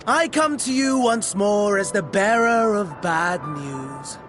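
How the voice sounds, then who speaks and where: An actor playing a haughty, suave game villain with florid, mannered, drawling phrasing and wry theatricality. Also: male voice, high-pitched, animated, slow, fairly bright tone, faint music behind, exaggerated voice. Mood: measured. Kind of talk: video game voice acting.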